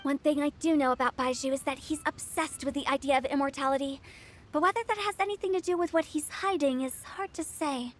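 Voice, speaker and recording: A woman's voice: sits high, fairly quick, a little hiss or hum, natural voice. A woman playing a quirky young female funeral director in a video game, with a playful, theatrical storytelling manner. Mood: wary, speculative. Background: music.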